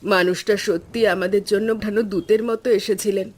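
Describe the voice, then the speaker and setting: A woman voicing a caring middle-aged wife and mother in a cartoon story, speaking gently and soothingly. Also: medium pitch, fairly even intonation, moderate pace, a little hiss or hum, natural voice. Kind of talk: cartoon voice acting.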